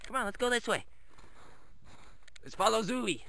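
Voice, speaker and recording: A man's voice: high voice, expressive, normal speed, gravelly, pushed and bright, slight background noise. A man talking to his dogs in a sing-song, coaxing way while filming a walk through snowy woods on a handheld camera. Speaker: vlogger walking his dogs.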